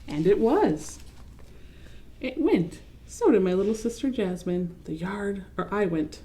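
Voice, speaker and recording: A woman reading a children's picture book aloud as host, expressive, with explanatory asides. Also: medium pitch, a little slow.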